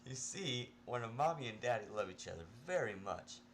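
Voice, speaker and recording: A man's voice: mid-range voice, animated, normal speed, natural voice. A man voicing a sarcastic, irritable male patient locked in an asylum, in an amateur story reading with background noise. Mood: sly.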